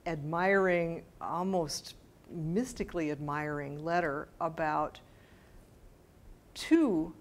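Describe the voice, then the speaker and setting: A middle-aged woman answering questions after a scholarly talk, informal and hesitant, often correcting herself as she thinks aloud. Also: on the low side. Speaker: lecturer answering audience questions. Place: bright mid-sized library meeting room with audience.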